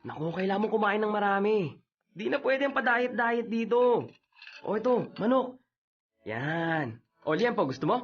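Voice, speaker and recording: Radio drama: brash younger brother, swooping, teasing delivery, muffled audio. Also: male voice, high voice, normal speed, pauses often. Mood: eager, doting. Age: young adult. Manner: animated, teasing, insistent. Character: brash, cheerful younger brother, doting on his girlfriend. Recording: dull, band-limited radio drama audio.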